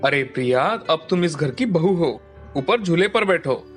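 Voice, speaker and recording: A man's voice: a little high, lively intonation, normal speed, lightly acted. Earnest young cartoon son played by a man. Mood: affectionate. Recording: music bed behind the voice.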